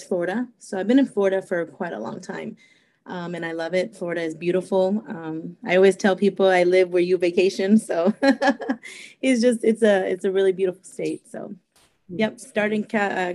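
A woman's voice: on the low side, steady tone, moderate pace. A woman in her thirties from Costa Rica, raised in California, telling her life story with a laugh in her voice. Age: 30s. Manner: bubbly, laughs often, warm and open. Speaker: guest sharing testimony. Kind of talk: casual interview, personal testimony.

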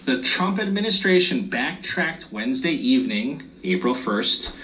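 A man's voice: mid-range voice, very animated, a little slow, pushed and bright, recorded from a distance, rough recording. A man who is losing his voice, explaining loan and tax forms step by step in a casual explainer video.

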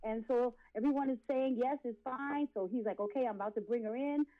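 A woman's voice: a little high, a little fast, phone-quality sound. A woman in her late forties giving testimony as an interview guest, speaking in an impassioned, preachy, emphatic way with repeated phrases. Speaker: guest, R&B and gospel singer.

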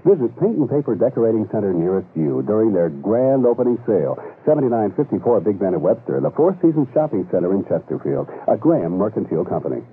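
A man reading a brisk scripted retail commercial on a tinny radio broadcast. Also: on the low side, big pitch swings, slight background noise. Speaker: local commercial announcer.

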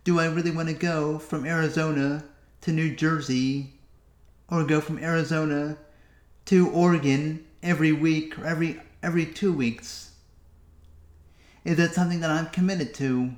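A man from the United States hosting a solo podcast, musing to himself with long pauses. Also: a little high, steady tone, echoey room. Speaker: podcast host. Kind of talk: solo reflective podcast monologue.

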